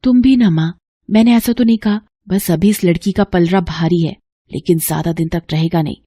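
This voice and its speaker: A woman's voice: lowish voice, fairly quick, warm tone, slightly rough, dry recording, studio quality. A woman voicing a sly young daughter in a cartoon, coaxing and conspiratorial as she schemes. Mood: sly, persuasive. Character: sly young daughter plotting against her sister-in-law.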